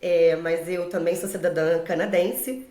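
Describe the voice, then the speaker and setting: A woman's voice: lowish voice, steady tone, unhurried, dark tone. A woman in her forties with a Carioca accent from Rio de Janeiro, telling her story conversationally as a podcast guest and teacher. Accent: Carioca Brazilian Portuguese. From Rio de Janeiro, Brazil. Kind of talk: conversational personal storytelling in an interview. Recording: roomy echo.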